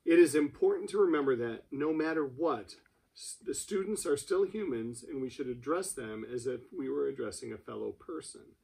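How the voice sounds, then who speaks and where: Officious, dismissive male administrator, read by a man, gravelly and husky, amateur drama reading. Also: mid-range voice, expressive, normal speed, a little mellow, rough recording. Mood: formal.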